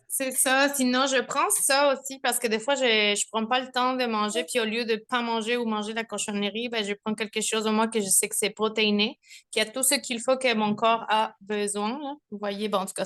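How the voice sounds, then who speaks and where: Bright-voiced young mother, Mexican-born. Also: on the high side. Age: young adult. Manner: chatty, laughing, many fillers and restarts. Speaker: testimonial speaker. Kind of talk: casual spoken testimony. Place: home, with children nearby.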